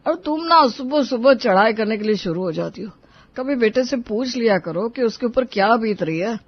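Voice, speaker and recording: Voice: medium pitch, expressive, moderate pace, muffled recording. A performer voicing a sulky hot-tempered young husband. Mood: complaining. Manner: sulky, irritable.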